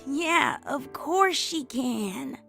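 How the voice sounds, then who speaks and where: Casting: brash young female cartoon pegasus, confident and protective, very high raspy voice with lively swings. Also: very slow, heavily acted. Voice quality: raspy, creaky. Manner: confident, reassuring. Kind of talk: cartoon fan fiction character reading. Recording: music under the voice.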